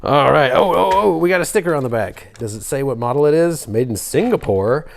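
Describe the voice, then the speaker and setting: A man's voice: on the high side, animated, fairly quick. A man presenting a hobby video in a casual, nostalgic show-and-tell style, rambling and thinking aloud as he goes. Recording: clear background noise with clicks.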